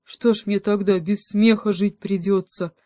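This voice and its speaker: A woman voicing a boastful, bullying young male wolf cub in a children's story, loud and exclaiming. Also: medium pitch, steady tone, a little slow, no room sound, studio quality, voice pushed up, close to an everyday voice. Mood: indignant.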